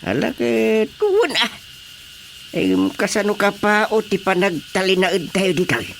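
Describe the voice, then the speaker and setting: Bumbling Ilocano army sergeant, nervous, hesitant, comic radio play, clear cricket-filled background. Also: male voice, high voice, big pitch swings, measured pace, fairly bright tone, slight background noise, full character voice. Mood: uneasy, deferential. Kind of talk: radio drama comedy acting.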